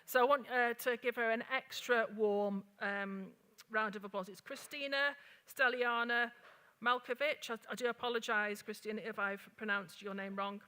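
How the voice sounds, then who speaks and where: A woman's voice: a little high, fairly even intonation, moderate pace, bright, forceful tone, clear, resonant tone. A woman, finishing a live talk and introducing the next speaker in a chatty way. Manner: chatty, lots of fillers and restarts. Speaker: event host and speaker. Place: café event space.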